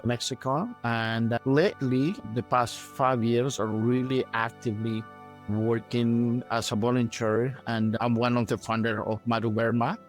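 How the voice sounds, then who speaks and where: A middle-aged man with a Guatemalan Spanish accent tells his own story in an interview. His voice sits at a medium pitch, and his phrasing is halting, often circling back to repeat words. Music plays behind him. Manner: halting, repetitive non-native English phrasing. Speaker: refugee advocate and settlement worker. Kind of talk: personal testimony in an interview.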